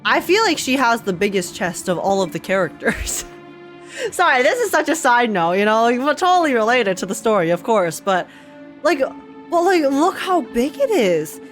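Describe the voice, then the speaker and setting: A woman streamer giving casual live reactions to a game in an excitable, playful, exclamatory way. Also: a little high, moderate pace. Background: faint game music.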